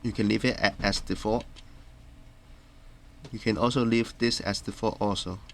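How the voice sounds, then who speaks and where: A man narrating a step-by-step tutorial in a plain, instructional way, halting from phrase to phrase. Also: fairly low pitch, fairly even intonation. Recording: clearly noisy background.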